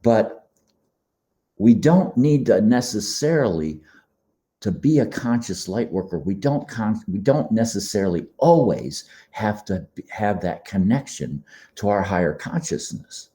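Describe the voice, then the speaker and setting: A man hosting a podcast, giving a slow, meditative spiritual talk in a slightly hoarse, froggy voice. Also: on the low side, sing-song, dark tone. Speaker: podcast host. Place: quiet beach condo.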